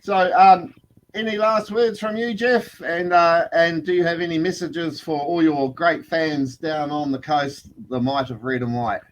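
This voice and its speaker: Upbeat New host, higher voice. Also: male voice, steady tone, unhurried, low-quality recording. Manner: upbeat, asks questions with fillers. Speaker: podcast co-host. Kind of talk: casual podcast chat.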